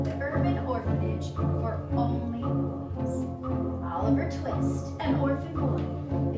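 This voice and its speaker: A woman reading stage directions at a table read in a lively, theatrical narrating style, acting out sound effects. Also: high voice. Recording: echoey room, distant mic, music behind.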